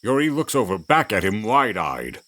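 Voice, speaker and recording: A man's voice: fairly low pitch, big pitch swings, moderate pace, bright, forceful tone. A man reading scripted narration for an audio drama, in a storytelling style.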